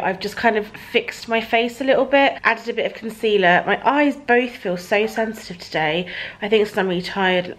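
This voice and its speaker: A woman's voice: mid-range voice, fairly bright tone. A woman in her thirties with a southern English accent, chatting casually and emphatically in a rambling, informal vlog. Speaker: vlogger. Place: parked car, then living room at home. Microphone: handheld camera at arm's length.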